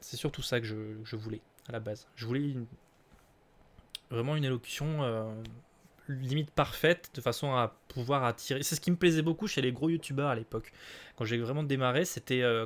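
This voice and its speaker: A man in his late twenties from southern France, answering viewer questions on a live stream in a casual, hesitant way with many fillers. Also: medium pitch. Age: late 20s. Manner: hesitant, many fillers and restarts, laughs easily.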